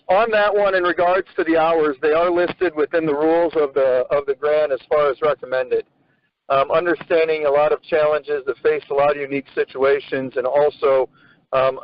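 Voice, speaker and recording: Man hosting a phone conference, halting delivery with restarts and fillers, mid-range voice, muffled line. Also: mid-range voice. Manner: halting, repeats words, many fillers. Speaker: host of an agricultural education conference call. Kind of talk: leading a conference call. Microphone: phone on a conference call. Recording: muffled conference-call audio.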